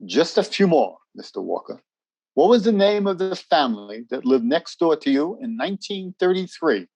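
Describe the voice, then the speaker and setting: An actor playing a firm, procedural military security officer in a radio drama, formal, brisk and husky. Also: male voice, on the high side, very animated, a little mellow, full character voice, poor audio. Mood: brisk questioning.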